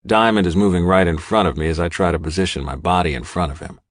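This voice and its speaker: A man's voice: sits low, fairly even intonation, fast talker, no echo, clean studio sound. A man reading first-person dramatic fiction as an audiobook narrator.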